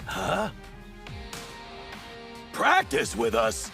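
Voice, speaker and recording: An actor playing a gruff, short-tempered teenage sumo captain in an anime dub, confrontational in manner. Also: male voice, fairly high pitch, wildly swooping pitch, very slow, sharp tone, full character voice. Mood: suspicious. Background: music.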